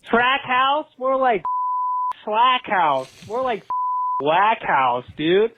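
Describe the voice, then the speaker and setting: Female fan caller, slow and mocking, thin phone sound with faint noise. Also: on the high side, lively intonation. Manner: mocking, exasperated. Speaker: fan leaving a voicemail. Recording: tinny, slightly noisy phone voicemail.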